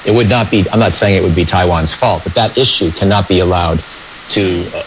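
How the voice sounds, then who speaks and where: An American man giving measured, diplomatic, explanatory answers as a foreign policy expert in an interview. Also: deep voice. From United States. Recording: dull lo-fi radio relay with static noise.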